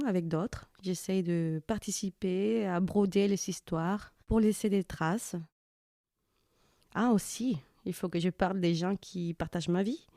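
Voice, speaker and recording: A woman's voice: on the low side, dark tone, no echo, clean studio sound, lightly acted. An actress playing an earnest, playful bookish mother who introduces herself hesitantly and informally, as if interviewed, in audio fiction. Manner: informal, hesitant, self-conscious.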